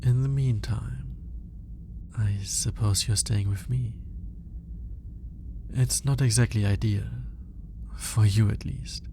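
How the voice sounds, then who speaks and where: A man playing a lonely, lovelorn alien scientist in an intimate ASMR roleplay, musing aloud in a hesitant, halting manner. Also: on the low side, steady tone, background noise. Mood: musing, resolute. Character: lonely male alien scientist, obsessive, awkward, smitten, lovelorn.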